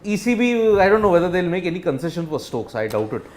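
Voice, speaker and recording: A man's voice: high voice, sing-song, quick pace, darkish tone. A man, a panelist in a casual live cricket discussion who readily agrees and chimes in. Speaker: sports panelist.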